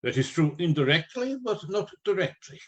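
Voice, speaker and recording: A solemn, learned professor in a radio drama. His voice sits a little high, and he speaks formally and deliberately, persuading with rhetorical questions. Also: big pitch swings, highs cut off. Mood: probing. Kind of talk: radio play acting.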